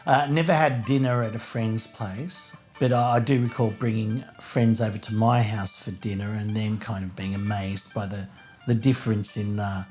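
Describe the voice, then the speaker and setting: A man's voice: on the low side, steady tone, moderate pace, a little mellow, soft background music. A man in his fifties whose first language is Greek, thoughtfully recalling things in an interview. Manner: thoughtful, reminiscing. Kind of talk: reflective interview.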